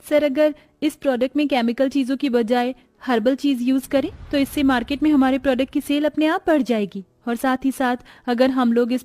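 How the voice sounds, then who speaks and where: A humble poor young woman from a cartoon morality tale, acted by a woman. Her voice is very high with a mellow tone. She speaks earnestly and pleadingly, like someone hardworking and overlooked. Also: no echo, lightly acted. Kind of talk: scripted cartoon moral story acting.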